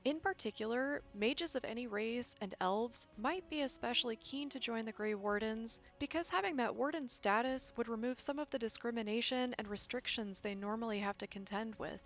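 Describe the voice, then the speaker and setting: A woman's voice: on the high side, normal speed, few pauses. A woman with a slightly scratchy, allergy-affected voice narrates an explanatory video essay in an articulate, conversational way. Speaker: video creator, game lore explainer. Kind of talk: scripted video essay narration with casual asides. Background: background music.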